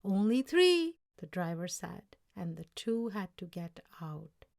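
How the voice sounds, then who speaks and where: A woman storyteller reading a children's story aloud in a measured, expressive way. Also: fairly low pitch, creaky. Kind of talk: scripted children's story narration, read aloud.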